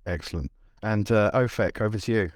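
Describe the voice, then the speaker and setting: A man introducing a topic and moderating a panel discussion in a measured, scripted way. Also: lowish voice, no room sound, clean studio sound.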